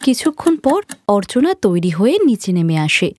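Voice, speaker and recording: A woman's voice: medium pitch, very animated, normal speed, darkish tone, dry recording, studio quality. A woman narrating a scripted cartoon story as a storyteller.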